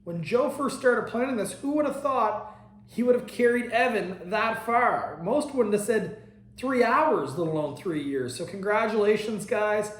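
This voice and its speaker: A man's voice: high-pitched, expressive, measured pace, fairly bright tone. A man, a town mayor, giving a congratulatory video message with some teasing. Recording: echoey, roomy sound.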